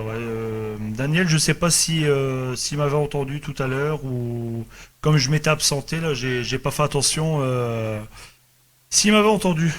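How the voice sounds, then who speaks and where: A man chatting casually as an amateur radio operator, in halting, hesitant phrases. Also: medium pitch.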